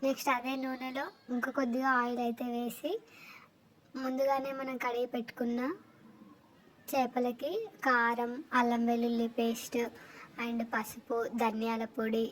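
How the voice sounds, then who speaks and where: High, even-toned woman. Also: moderate pace, pauses often, a little hiss or hum, low-quality recording. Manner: explanatory, step by step. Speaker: cooking vlogger. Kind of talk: recipe voice-over narration.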